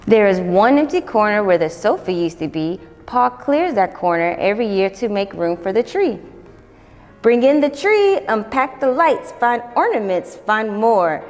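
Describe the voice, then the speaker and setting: A young adult woman volunteering as a reader, reading children's picture books aloud in an expressive, sing-song way. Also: mid-range voice, moderate pace, big-room sound, soft background music. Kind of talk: children's storytime read-aloud.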